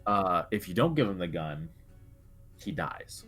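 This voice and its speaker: A man with a deep, low-pitched voice talks as a co-host on a gaming show. His delivery is casual and halting, thinking aloud as he builds his point, over faint music. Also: very animated, a bit bright. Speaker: co-host on a video game discussion show. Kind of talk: casual discussion.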